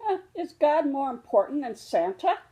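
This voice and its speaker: Woman as storybook bear cub, high-pitched, young, excitable, full of questions and wonder. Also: moderate pace. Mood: curious. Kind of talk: children's storybook read-aloud.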